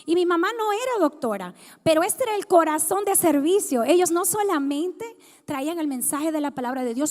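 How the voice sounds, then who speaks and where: High-voiced woman in a reverberant church. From Dominican Republic. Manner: conversational, asks the congregation questions. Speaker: guest speaker. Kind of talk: personal testimony sermon. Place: church sanctuary. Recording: long hall reverb.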